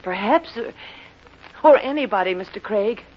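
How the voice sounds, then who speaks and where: Actress as an anxious woman with a husky voice. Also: mid-range voice, expressive, moderate pace, lightly acted. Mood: agitated. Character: anxious, pleading female client with a stolen diary. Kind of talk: 1950s radio drama acting. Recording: dull, muffled old radio recording.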